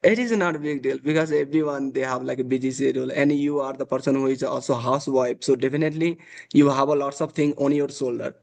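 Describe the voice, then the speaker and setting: A man's voice: mid-range voice, steady tone, quick pace, creaky, darkish tone. A young man from Nepal, chatting on a video call and filling his talk with uh and like. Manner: hesitant, many fillers, uh and like. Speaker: interviewee. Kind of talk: casual video call chat.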